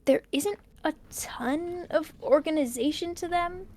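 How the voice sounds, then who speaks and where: High-voiced, hesitant young diarist played by a woman. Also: a little slow, darkish tone. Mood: pensive, hesitant. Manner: hesitant, rambling, pensive. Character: uneasy young person narrating an investigation into a tape recorder. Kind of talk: audio drama monologue, found-tape diary.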